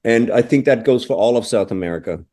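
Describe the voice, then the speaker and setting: A middle-aged man from Austria, a drummer answering interview questions thoughtfully, often pausing with "you know". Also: medium pitch, warm tone. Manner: thoughtful, restarts phrases, frequent "you know".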